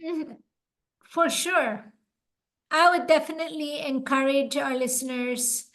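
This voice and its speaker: Slow-spoken high-voiced woman. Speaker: podcast co-host. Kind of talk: casual podcast chat.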